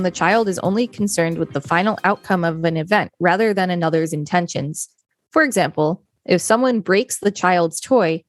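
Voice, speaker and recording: A woman's voice: on the low side, dry recording. A woman hosting a podcast and reading an encyclopedia article aloud. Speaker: podcast host.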